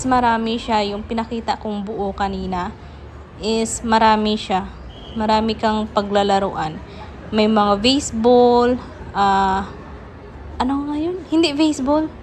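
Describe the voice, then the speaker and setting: A woman's voice: on the high side, slight background noise. A Filipino woman narrating a casual vlog, chattily addressing her viewers. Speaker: vlogger.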